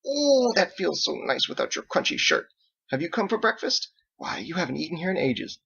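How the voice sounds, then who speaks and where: A man's voice: fairly high pitch, big pitch swings, normal speed, creaky, sharp tone, husky, highs cut off, pitched above the performer's own voice, heavily acted. A man voicing a gushing, blunt woman of seventy, chatty and sing-song as if talking to a child. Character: gushing, blunt seventy-year-old mother, hearty and chatty.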